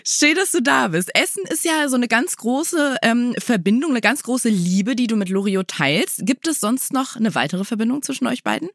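A woman's voice: on the high side, animated, normal speed, few pauses, fairly bright tone, dry recording, studio quality. A woman hosting a podcast, presenting and chatting with a guest. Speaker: podcast host. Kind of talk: scripted introduction and casual interview.

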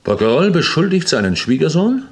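A man's voice: a little high, big pitch swings, a little fast, husky, exaggerated voice. An actor playing an eager police inspector in a German radio crime play, arguing insistently point by point to his chief.

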